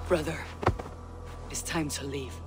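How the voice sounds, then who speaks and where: A woman's voice: low-pitched, fairly even intonation. An actress playing a resolute female viking warrior who speaks firmly and earnestly. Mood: pleading. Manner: firm, earnest, measured. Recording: game audio with music behind.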